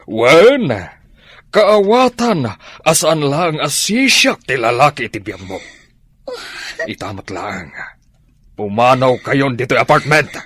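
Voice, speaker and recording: A slow, husky middle-aged man in radio drama. He is a domineering, quick-tempered lover, speaking emphatically in a dry, close sound. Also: medium pitch, animated, fairly bright tone, no echo, heavily acted. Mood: scornful, angry. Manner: scornful, emphatic. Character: domineering older sugar daddy, callous and quick-tempered.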